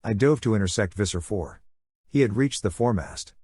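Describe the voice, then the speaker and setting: A man's voice: on the low side, expressive, a little fast, a little mellow, husky, dry recording. A man reading first-person fiction as a dramatic, intense audiobook narration.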